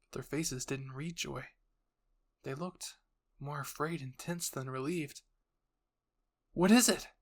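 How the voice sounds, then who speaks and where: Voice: medium pitch, steady tone, measured pace. A narrator and voice performer reading scripted anime fan fiction.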